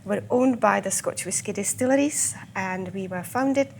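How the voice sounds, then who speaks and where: A woman from the Czech Republic with a Czech accent and a slight Scottish tinge, guiding a tour of a whisky collection and explaining it patiently, with small pauses. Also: medium pitch, gravelly, a little mellow, a little hiss or hum. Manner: fluent, with filler pauses and slow stressed words. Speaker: whisky guide. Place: whisky collection room, indoors.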